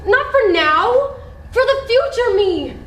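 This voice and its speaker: A woman's voice: very high-pitched, lively intonation, slow pace, full character voice. A woman playing a bold, sardonic, man-hungry character in a live stage play, with a teasing, brash manner. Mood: defiant, brash. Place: indoor theater stage. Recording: distant echoey theater with faint noise.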